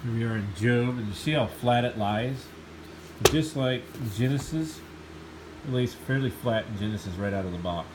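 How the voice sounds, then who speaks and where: A man's voice: medium pitch, normal speed, heavy background noise. Sniffly man reviewing a Bible. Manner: enthusiastic, rambling with fillers. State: slight cold, sniffling. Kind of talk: casual product review.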